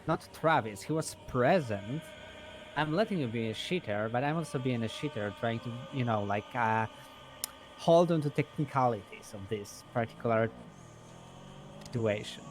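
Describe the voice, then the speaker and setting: A man's voice: medium pitch, lively intonation, normal speed, a little hiss or hum. A man chatting casually and improvising in an online roleplay game, rambling and hesitant, with frequent swearing.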